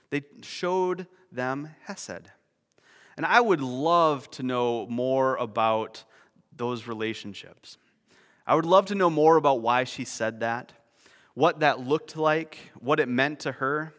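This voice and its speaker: A man's voice: on the high side, a bit bright, in a large hall. A man preaching a sermon, explaining a word's meaning with nerdy, self-deprecating asides. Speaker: pastor.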